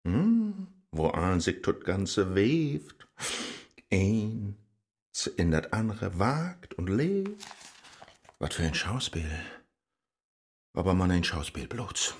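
An actor playing a frustrated, brooding scholar, declaiming comic rhymed monologue in a northern Low German accent. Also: male voice, exaggerated voice. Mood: agitated, resolute, then brooding, yearning. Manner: theatrical, declamatory, swinging into exclamations.

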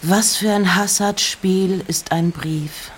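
An actress playing an introspective nineteenth-century woman poet in a radio play, reciting in a breathy, hushed, deliberate way with pauses between short phrases. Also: fairly low pitch, steady tone, a little hiss or hum. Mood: musing. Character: Emily Dickinson, introspective 19th-century American woman poet. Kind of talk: radio play, poetic recitation of poems and letters.

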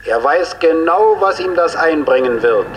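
An actor playing a stern police officer calling out formal, commanding surrender orders in a radio crime drama. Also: male voice, fairly high pitch, lively intonation, measured pace. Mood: firm, urgent. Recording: long echo, outdoor hail, hissy.

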